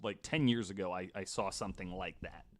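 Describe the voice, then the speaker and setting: A man's voice: low-pitched, brisk. A man hosting a podcast in casual, informal conversation, with some offhand swearing. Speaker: podcast host. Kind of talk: casual panel chat.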